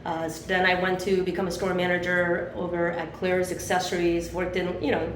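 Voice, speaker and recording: A woman's voice: on the low side, monotone, moderate pace, roomy sound, slight background noise, rough recording. A woman in her fifties from Chile who grew up in Miami, telling her life story in a rambling, chatty way as an interview guest. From Chile, raised in Miami. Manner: chatty, rambling with many fillers.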